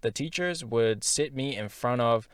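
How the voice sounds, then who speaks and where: A man's voice: mid-range voice, unhurried, clean tone, no room sound, studio quality. A young man telling his own story in a casual, candid monologue, with slang and filler words. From half Japanese, schooled in Singapore.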